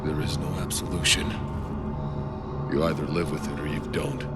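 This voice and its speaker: A man voicing a blunt, gruff mercenary hero in video game dialogue, swearing freely. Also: extremely low pitch, level tone, normal speed, loud music behind the voice. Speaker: player character, mercenary.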